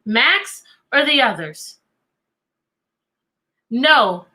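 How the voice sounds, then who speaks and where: A woman teacher hosting an interactive read-aloud for children, talking in an animated way and putting questions to her young listeners. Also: high-pitched, extremely slow, rough recording. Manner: animated, asking listeners questions and repeating them.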